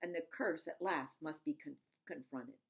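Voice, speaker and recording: A woman's voice: deep voice, unhurried, creaky, breathy edge, exaggerated voice. A woman voicing a stern, dramatic elderly grandmother who speaks in solemn, grand pronouncements in a storybook read-aloud. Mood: grave. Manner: solemn, portentous.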